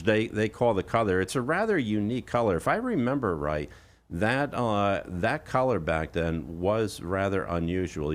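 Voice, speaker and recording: A man hosting a radio show, with conversational, emphatic sales patter. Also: on the low side. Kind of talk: radio hosting and live ad reading.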